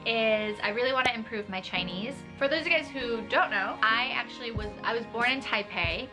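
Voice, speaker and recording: A woman's voice: a little high, fairly quick, over music. Chatty young New woman vlogging. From New York. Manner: chatty, uses "like" a lot, laughs lightly. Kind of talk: casual talk to camera. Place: small bedroom with desk and tapestry, indoors.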